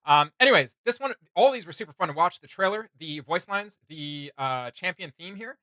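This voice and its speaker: A young man hosting a reaction video, chatting casually in an animated, rambling, slangy way. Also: sits high, moderate pace, no echo. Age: young adult. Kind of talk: casual enthusiastic reaction chat. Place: small indoor room with desk and shelves.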